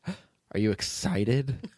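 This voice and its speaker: A man's voice: mid-range voice, very animated, drawn-out words, a little mellow, dry recording, clean studio sound. A man hosting a podcast as its writer and producer, telling behind-the-scenes stories in a casual, rambling way.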